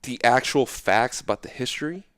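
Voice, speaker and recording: A young man talking casually as an interview guest, animated and loose, often checking in with "right?". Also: mid-range voice, normal speed, smooth, dry recording, studio quality.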